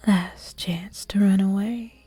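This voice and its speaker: A flirty, predatory vampire, played by a woman whispers close in a breathy, dark hush. Each word comes out unhurried and teasing, with faint music underneath. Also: medium pitch, fairly even intonation. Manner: slow, teasing, hushed and intimate. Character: seductive predatory vampire, flirty noble demon. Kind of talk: whispered ASMR roleplay audio.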